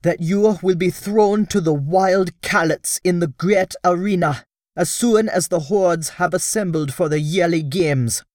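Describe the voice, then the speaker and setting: A man reading an audiobook, voicing a gentle but fearful green alien woman who speaks urgently and anxiously in a much higher voice. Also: unhurried, dry recording, clean studio sound. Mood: alarmed. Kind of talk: audiobook character voice.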